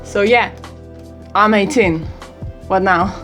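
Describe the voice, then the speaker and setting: A woman's voice: medium pitch, slow pace, a bit bright, faint music behind. An eighteen-year-old woman from Europe, English not her first language, chatting casually in a rambling, giggly, self-deprecating vlog. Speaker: vlogger. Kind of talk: casual birthday vlog chat.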